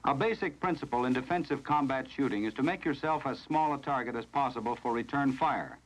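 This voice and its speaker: An American man reading scripted narration in the formal, measured, instructional manner of a 1970s training film, on a vintage film soundtrack. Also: medium pitch, low-quality recording.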